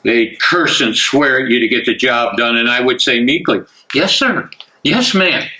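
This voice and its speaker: A man playing a bitter, cruel, gloating Nazi major in a dramatic stage reading, with a taunting, deliberate delivery. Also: medium pitch, lively intonation, bright, forceful tone, low-quality recording. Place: library room, live reading.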